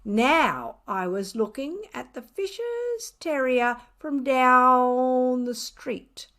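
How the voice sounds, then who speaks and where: A woman voicing a grumpy, sardonic pet cat in an indignant, self-justifying storybook narration with drawn-out emphasis. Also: high-pitched, exaggerated voice. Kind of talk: expressive children's storybook reading.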